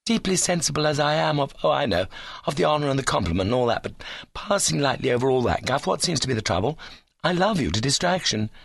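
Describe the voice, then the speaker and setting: A man acts out a lovestruck young fellow from a comic storybook, lively and swooping in pitch. He talks in breezy slang, eager and earnest, in a close dry sound. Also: quick pace, gravelly, no room sound, heavily acted. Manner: breezy, earnest, indignant when rebuffed. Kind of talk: comic short-story reading.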